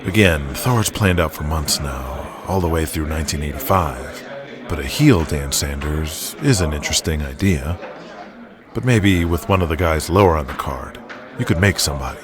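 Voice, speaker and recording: Deep, commanding wrestling promoter acted by a man. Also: animated, moderate pace, crowd noise. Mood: dismissive, measured. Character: shrewd, controlling male pro-wrestling promoter, commanding boss. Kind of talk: audio drama acting.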